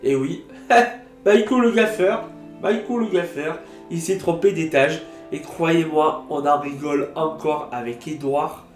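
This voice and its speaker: Male vlogger with a cold, animated storyteller, roomy sound, soft guitar bed. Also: on the high side. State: has a cold. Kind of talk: casual storytelling vlog.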